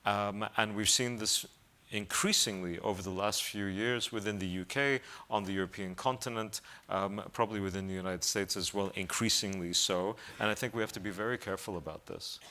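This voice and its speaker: Male panelist, low clear voice, measured and deliberate. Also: bright, forceful tone. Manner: measured, with fillers and restarts. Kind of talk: panel discussion.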